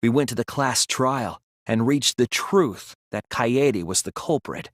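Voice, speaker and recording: A man's voice: medium pitch, no room sound, studio quality, lightly acted. A man acting an earnest, sensitive and anxious teenage boy detective in a dramatic video game dub. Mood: grave, deductive. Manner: earnest, measured explaining that turns to strained pleading.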